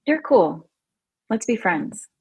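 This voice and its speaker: A playful young camp counselor, voiced by a woman at a live script read. She speaks slowly with a husky edge, recorded dry and close. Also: medium pitch, big pitch swings, no room sound, heavily acted. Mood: playful, pleased.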